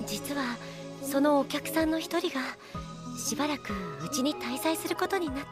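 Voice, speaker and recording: A woman plays a gentle, girlish young boy in an anime game. The voice is high and shy, with very polite, hesitant phrasing. Music runs clearly behind it. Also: fast, lightly acted. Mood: shy, earnest. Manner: soft-mannered, hesitant, very polite. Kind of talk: Japanese visual novel anime voice acting.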